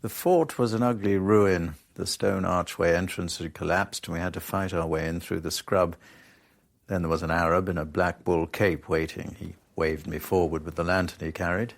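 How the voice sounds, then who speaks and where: An actor playing a dry, sceptical foreign correspondent in a radio drama, narrating and asking questions. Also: male voice, sits low, fairly even intonation, normal speed, creaky.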